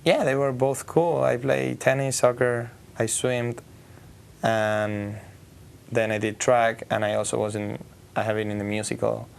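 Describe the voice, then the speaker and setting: A teenage exchange student from Argentina, speaking English hesitantly in a studio interview. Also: male voice, mid-range voice, steady tone, measured pace, vocal fry, slight background noise. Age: teens. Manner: hesitant, searching for words.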